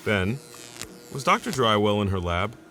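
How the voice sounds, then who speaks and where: An actor playing a laid-back lab assistant in an audio drama, talking in a casual, slangy, sardonic way. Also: male voice, fairly low pitch, very animated, normal speed, noisy background. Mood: tense. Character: laid-back, wry male lab assistant, loyal but exasperated.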